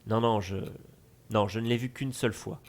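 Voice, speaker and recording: A man's voice: lowish voice, fairly even intonation, moderate pace, natural voice. A man playing a polite, evasive notary with a formal, hesitant, apologetic manner in a French audio drama. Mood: formal, courteous.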